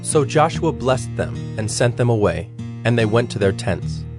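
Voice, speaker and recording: A man giving a measured, formal narration of scripture as the narrator of a dramatized audio production. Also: mid-range voice, sing-song. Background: instrumental music with plucked strings.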